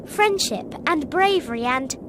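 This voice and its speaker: High-voiced clever schoolgirl witch, English accent. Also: lively intonation, unhurried, background noise. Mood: earnest. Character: clever bossy schoolgirl witch, earnest and anxious. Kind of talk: video game cutscene acting.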